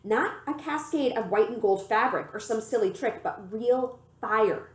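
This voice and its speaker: A woman reading a children's novel aloud in an expressive, storytelling manner. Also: fairly high pitch, measured pace. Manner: animated storytelling, acts out the dialogue. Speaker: library reader of children's books. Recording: echoey room.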